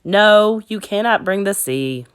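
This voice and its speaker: A woman's voice: medium pitch, animated, measured pace, clean tone, lightly acted. Woman reading as a patient, reassuring older sister. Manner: reassuring, matter-of-fact.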